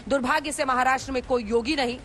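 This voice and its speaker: A woman's voice: high-pitched, fairly even intonation, fast, sharp tone. A woman reading scripted news narration in an emphatic, dramatic way as a reporter. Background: background music.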